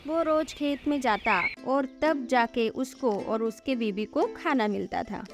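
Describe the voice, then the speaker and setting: A woman's voice: sits high, a little slow. A woman reading a scripted moral story for children as its narrator. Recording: background music under the voice.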